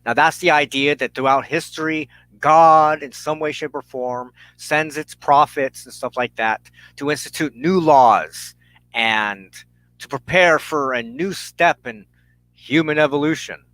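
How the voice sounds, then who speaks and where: A man preaching an impassioned monologue as a spiritual show's host, emphatic and deliberate, with dramatic pauses and repeated phrases. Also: a little high, fairly bright tone, no room sound, rough recording.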